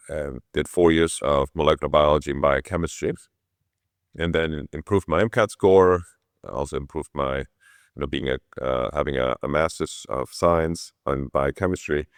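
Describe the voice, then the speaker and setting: Very deep-voiced middle-aged man. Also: no room sound, studio quality. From Switzerland. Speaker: interview guest, orthopedic surgeon. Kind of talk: conversational personal storytelling.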